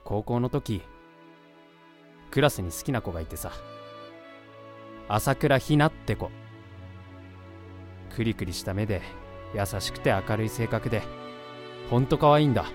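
A man's voice: fairly low pitch, expressive, unhurried, pauses often, soft background music. A young man playing an awkward, self-deprecating, lovesick university student in a voice drama. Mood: wistful, earnest. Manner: hesitant, earnest, blunt. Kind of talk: Japanese voice drama acting with narration.

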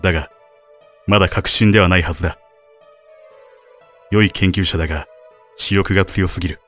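A man acting as a grave researcher and father, narrating his private journal in an audio drama. His voice sits on the low side, solemn and measured, with music playing behind it. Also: steady tone, fairly bright tone. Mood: grave, uneasy. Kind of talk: dramatic narration of diary entries.

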